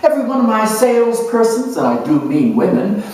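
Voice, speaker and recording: A vain, pushy boss of a car dealership, acted out by a man, boasts and gives orders in a lively, swooping voice. His hard sell is theatrical and commanding. It rings through a very reverberant room, some way from the microphone. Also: sits high, fairly quick, voice pushed up. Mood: brash, boastful. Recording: echoey room, distant microphone.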